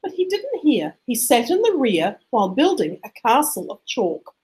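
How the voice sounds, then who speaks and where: High, animated woman reading a storybook. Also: normal speed. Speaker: storybook reader. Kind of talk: expressive scripted narration of a rhyming children's picture book.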